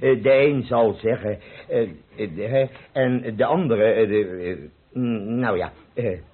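Stingy, hesitant, husky burgher. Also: male voice, medium pitch, a little slow. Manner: hesitant, hemming and quibbling. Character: stingy, fussy, hesitant burgher commissioning a portrait. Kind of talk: Dutch radio play acting. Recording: dull, lo-fi old radio recording.

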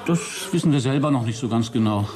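A man's voice: mid-range voice, animated, a little fast, crowd noise, natural voice. A man playing a wry astronaut in a radio play, dry and playfully mock-official. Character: wry male astronaut playing space flight at home. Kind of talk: German radio play acting.

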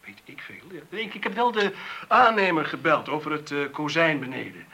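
A teasing, sarcastic man at home in a Dutch radio play, with a Netherlands accent. His husky voice swings freely in pitch, tossing out dry, flippant asides. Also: animated, normal speed, bright, forceful tone, exaggerated voice. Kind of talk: naturalistic Dutch radio drama dialogue.

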